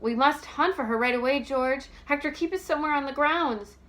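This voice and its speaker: A fretful young storybook heroine, acted out by a woman reading aloud. Her voice is pitched up, and she sounds anxious and easily flustered, given to breathless exclamation. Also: a little fast, fairly bright tone. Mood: nervous, resigned.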